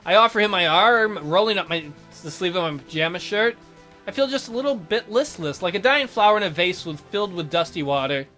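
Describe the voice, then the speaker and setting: A man with a bright voice and lively, varied pitch reads visual novel narration aloud. He is a let's play host who drops in casual remarks, with soft music playing behind him. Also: brisk.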